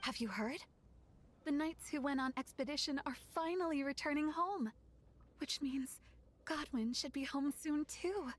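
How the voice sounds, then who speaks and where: A woman playing a sweet, devout young woman in a video game, gentle and earnest. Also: high-pitched, a little slow. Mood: happy, hopeful. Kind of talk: animated video game acting.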